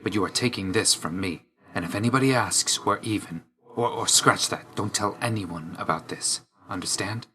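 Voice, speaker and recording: An actor playing an aloof, weary young god of death in a video game, speaking in a restrained, clipped and solemn way. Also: male voice, lowish voice, moderate pace, sharp tone. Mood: reserved, grudging.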